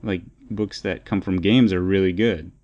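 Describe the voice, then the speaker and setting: A man's voice: sits low, steady tone, moderate pace, darkish tone, lo-fi sound. A man co-hosting a podcast in casual, opinionated discussion, rambling and repeating phrases as he thinks aloud. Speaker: podcast co-host.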